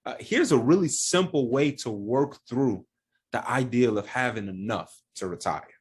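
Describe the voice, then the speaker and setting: A man's voice: medium pitch, expressive, moderate pace, slightly rough. A man with a mid-range voice explaining retirement planning to the camera. He speaks plainly and breaks every idea down step by step, with an everyday example for each point. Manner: plain-spoken, walks through examples step by step. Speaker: financial advisor and firm founder.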